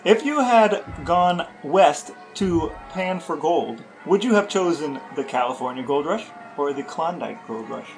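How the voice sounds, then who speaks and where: A man with a somewhat high voice co-hosting a casual podcast, reading out lists and asking playful questions. Faint music plays underneath. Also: lively intonation, unhurried, creaky, hardly any pauses, low-quality recording. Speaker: podcast co-host. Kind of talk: casual chat between brothers.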